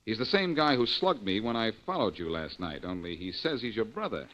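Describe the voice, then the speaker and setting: Sardonic radio detective, lively pitch. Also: male voice, fairly quick, slight background noise. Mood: sardonic, probing. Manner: clipped, wisecracking, confrontational. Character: shrewd, hard-nosed male private detective, sardonic. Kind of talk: 1940s radio acting. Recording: dull lo-fi old radio recording.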